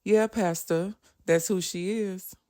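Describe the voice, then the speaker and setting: A woman voicing a hardworking husband who speaks quietly and firmly. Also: on the low side, fairly even intonation, slow pace, pitched above the performer's own voice. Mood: earnest. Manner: quiet, earnest. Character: hardworking young husband, quiet but firm.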